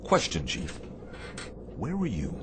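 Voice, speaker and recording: An actor playing a stern, demanding but caring Navy captain in a radio drama, with a commanding, sardonic delivery. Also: male voice, low-pitched, wildly swooping pitch, exaggerated voice. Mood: angry, scolding. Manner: commanding, sardonic, deliberate phrasing. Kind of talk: radio theatre acting. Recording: clear background noise, shipboard ambience.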